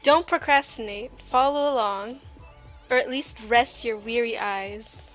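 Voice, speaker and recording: A woman's voice: on the high side, measured pace, a bit bright, low-quality recording. A woman livestream host chatting casually and jokingly while she draws, with a playful, self-deprecating way of talking. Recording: music playing behind the voice. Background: music.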